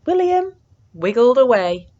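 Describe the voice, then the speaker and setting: A woman reading a picture book aloud to young children in an expressive way, moving between hushed suspense and excited exclamation. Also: fairly high pitch, a little slow, lo-fi sound.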